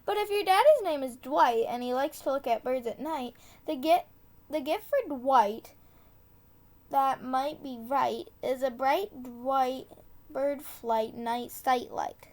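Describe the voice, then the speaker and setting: A woman reading a children's tongue-twister book aloud, deliberately, with casual asides and the odd corrected stumble. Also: sits high, a little mellow. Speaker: storybook reader.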